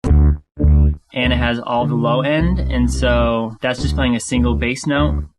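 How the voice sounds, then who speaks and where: A man presenting a casual music software tutorial as a producer. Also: mid-range voice, expressive, slow. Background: music and vocoder demo.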